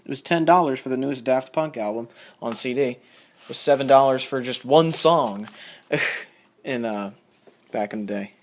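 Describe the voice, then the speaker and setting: A man's voice: mid-range voice, moderate pace. Rambling man in casual show-and-tell. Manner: rambling, thinking aloud, reading labels out. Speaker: record collector showing a haul.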